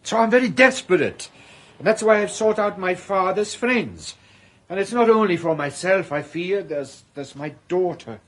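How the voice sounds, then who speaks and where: An actor playing a frightened, hunted islander in hiding, who talks in an anxious, pleading way with halting repetitions and a husky voice. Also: male voice, high voice, animated, fairly bright tone. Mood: fearful. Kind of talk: radio drama acting.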